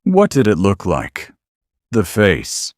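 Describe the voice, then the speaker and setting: Male co-host for scripted horror. Low register, very expressive pitch, rattled disbelief, close dry recording. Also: slow pace, no echo. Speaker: podcast co-host. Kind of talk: scripted reactions to a horror story.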